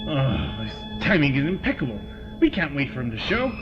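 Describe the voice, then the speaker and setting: A man playing a brisk, exasperated military colonel in an audio drama, giving clipped, authoritative orders. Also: medium pitch, huge pitch swings, dull audio, music in the background. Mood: harried.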